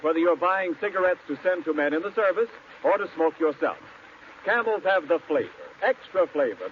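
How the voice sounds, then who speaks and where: An American man announcing in an emphatic, formal 1940s radio style, reading scripted copy. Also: high voice. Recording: muffled, noisy 1940s radio recording.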